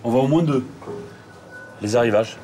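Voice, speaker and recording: A man's voice: medium pitch, normal speed, mellow, a little hiss or hum. A middle-aged man, a head chef, explaining his work directly while moving around a hotel kitchen. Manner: direct, instructive, hands-on.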